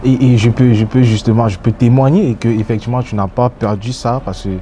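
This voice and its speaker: A man's voice: medium pitch, steady tone, fairly quick, slight background noise. Stammering male host with affirming asides. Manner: stammering restarts, warm affirmations, laughs along. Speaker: interview host. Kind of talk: casual interview conversation.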